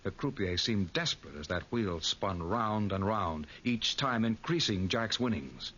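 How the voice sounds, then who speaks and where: A man's voice: on the low side, expressive, a little slow. An actor playing a skeptical, bookish American man who also narrates a 1940s radio drama. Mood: tense narration. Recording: muffled old radio recording, clear noise.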